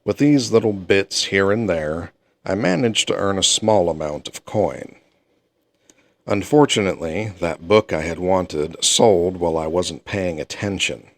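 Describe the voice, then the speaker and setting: A man reading a fantasy novel aloud in the first person, at an even, unhurried pace. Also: deep voice, no echo. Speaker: audiobook reader.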